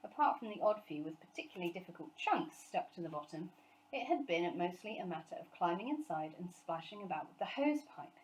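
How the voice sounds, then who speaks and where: A woman reads a children's story aloud. Her voice sits at an average pitch for a woman, and she delivers scripted storybook narration. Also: slight background noise, low-quality recording. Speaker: storybook reader, teacher.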